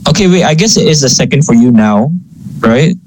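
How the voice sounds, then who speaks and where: A man co-hosting a casual podcast over a remote call, talking in a relaxed, halting way with lots of fillers. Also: medium pitch, rough recording. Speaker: podcast co-host. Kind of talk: casual chat.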